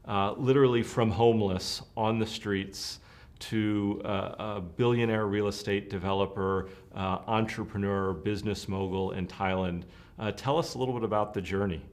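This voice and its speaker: A man hosts an author interview, his low voice leading the guest through prepared questions. He fills the pauses with small filler sounds as he asks. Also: steady tone. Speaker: interview host. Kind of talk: hosted interview.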